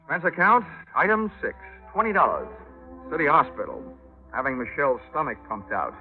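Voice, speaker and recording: Wry hardboiled radio detective, vintage sound. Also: male voice, on the high side, very animated, a little fast. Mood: tense wry narration. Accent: American. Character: wisecracking hardboiled male insurance investigator narrating his case. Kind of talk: 1940s radio detective acting and narration. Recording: dull vintage radio recording with loud music.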